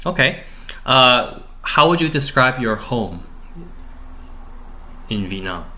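A man's voice: medium pitch, big pitch swings, slow, bright, forceful tone. A young man, a graduate student, conducting an oral history interview with polite, formal questions.

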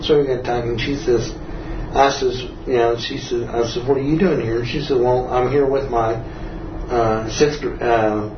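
An older man from Texas, telling a personal story as a veteran in an interview, in a rambling, halting, self-correcting way. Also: medium pitch, steady tone. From Texas, United States. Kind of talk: personal oral-history storytelling. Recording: roomy, dull, faintly noisy, distant mic.

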